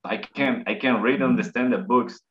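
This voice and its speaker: Puerto rican man on a lo-fi call. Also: medium pitch. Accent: Puerto Rican Spanish. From Puerto Rico. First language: Spanish. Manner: halting, repeats words, quotes himself. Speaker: interview guest. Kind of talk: casual video call interview. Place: home room, indoors. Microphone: video call webcam. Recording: dull lo-fi video call audio.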